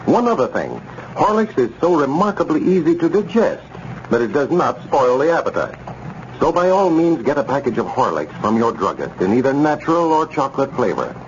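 A man's voice: medium pitch, sing-song, fairly quick. A man working as a 1930s radio announcer, reading scripted narration and a sponsor's advertisement. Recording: muffled, noisy 1930s radio recording.

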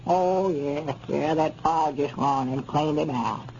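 High-pitched crotchety old Arkansas storekeeper. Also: male voice, slow, darkish tone. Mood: kindly, folksy. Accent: rural Southern US, Arkansas hill country. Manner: folksy, flustered, muddled stammering. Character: crotchety but soft-hearted, literal-minded old country storekeeper. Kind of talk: 1930s radio comedy serial acting. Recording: muffled, noisy 1930s radio recording.

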